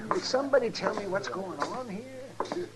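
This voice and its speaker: An actor playing a jovial, querulous older town doctor in an old-time radio western, with theatrical delivery. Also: male voice, high voice, lively intonation, normal speed, very noisy. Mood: jovial, peevish. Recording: old radio drama, crowd behind.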